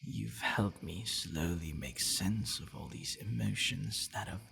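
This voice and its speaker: Gravelly, low-voiced gentle vampire boyfriend, acted by a man. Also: a little hiss or hum, exaggerated voice. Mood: tender. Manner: halting, soft-spoken, often dropping to breathy murmurs. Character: gentle, devoted, bashful male vampire boyfriend. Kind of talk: ASMR boyfriend roleplay, intimate acting.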